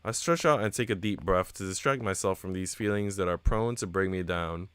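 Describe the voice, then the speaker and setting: A man's voice: low voice, brisk, clear, resonant tone, no room sound, natural voice. A man reading a visual novel aloud as an earnest, reflective young woman who hosts a radio show. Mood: self-critical, uneasy. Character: earnest, self-doubting young woman radio host in love. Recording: music behind the voice.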